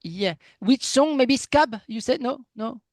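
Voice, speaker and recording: A man whose first language is French, interviewing in halting, enthusiastic English as he searches for words. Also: extremely high pitch, animated, no room sound, clean studio sound. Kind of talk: casual interview chat.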